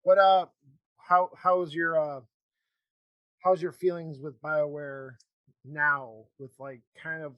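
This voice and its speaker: A man hosting a casual interview chat, talking in a halting, rambling way with false starts. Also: on the high side, flat delivery, no echo, highs cut off. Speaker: podcast host.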